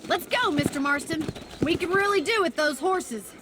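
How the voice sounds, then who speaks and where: Young ranch woman, high, animated, brisk and wry; Western game, noticeable noise behind. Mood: urgent, excited. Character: spirited young ranch woman, capable and friendly. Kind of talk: naturalistic video game acting. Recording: clear background noise, clicks.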